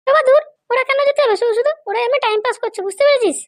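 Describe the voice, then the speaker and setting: Squeaky super high cartoon madam someone acting, power hungry schemer, bossy n threatening, talks fast. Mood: dismissive, bossy. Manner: bossy, threatening, self-justifying. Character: scheming, power-hungry madam, ruthless mother seeking political power. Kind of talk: comedy cartoon dialogue.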